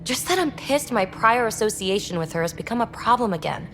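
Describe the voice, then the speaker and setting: Young snarky rogue explorer gal, video game, candid n animated, bit of rumbly noise behind. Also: female voice, fairly quick. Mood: irritated. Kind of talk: video game dialogue acting.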